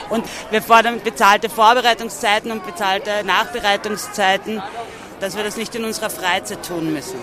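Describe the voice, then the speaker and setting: A woman, an educator in a street interview, listing points in simple repeated phrases. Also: medium pitch. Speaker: after-school educator. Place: outdoors at a street demonstration. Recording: outdoor recording amid demonstration crowd.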